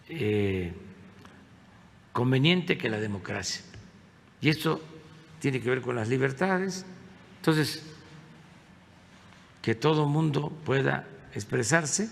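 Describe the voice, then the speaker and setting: A man giving a measured public statement, slow and halting with many filler pauses. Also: mid-range voice, gravelly, a little hiss or hum. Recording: long reverb, faint ambience.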